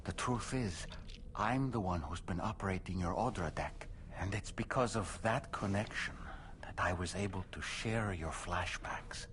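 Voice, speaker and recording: A composed therapist in a video game, delivering painful truth gently. His voice is low and steady, slow and careful, sometimes falling to a near whisper, with faint ambience in the background. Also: background noise. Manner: calm, measured and careful. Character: composed male therapist breaking hard news gently. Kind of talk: video game cutscene acting.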